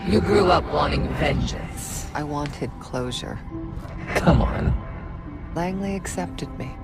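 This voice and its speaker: A woman's voice: low-pitched, takes it slowly. A woman playing an embittered, defiant intelligence agent turned traitor in a video game, intense and menacing. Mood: bitter, subdued. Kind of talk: cinematic video game acting. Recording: loud music behind the voice.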